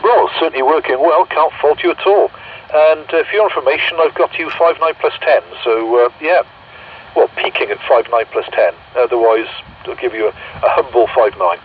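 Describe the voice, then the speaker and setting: A man's voice: on the high side, moderate pace. Muffled British man on a crackly CB radio. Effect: CB radio transmission. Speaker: CB radio net controller. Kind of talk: casual radio exchange. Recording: muffled, noisy CB radio speaker.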